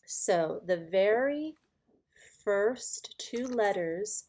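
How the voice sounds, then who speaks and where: A woman teaching an informal Bible lesson to viewers in a deliberate, halting way, leaning on key words. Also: medium pitch, animated, a little mellow. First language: English.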